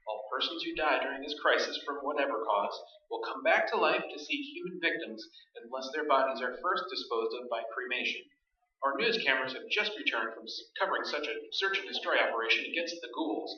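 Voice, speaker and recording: Casting: man playing formal news anchor, very bright, emphatic delivery, radio horror drama, roomy distant pickup. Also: mid-range voice, expressive, a little fast. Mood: grave. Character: grave, official TV newscaster reporting a crisis. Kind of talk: formal news bulletin acting in a radio play. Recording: echoey stage, distant and dull.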